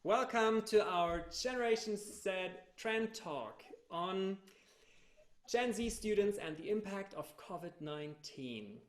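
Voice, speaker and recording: Echoey German-accented man hosting, higher-pitched voice. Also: animated, slow. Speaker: youth researcher hosting an online panel. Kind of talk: moderating a group video talk. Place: indoor room on a video call. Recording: echoey room on a video call.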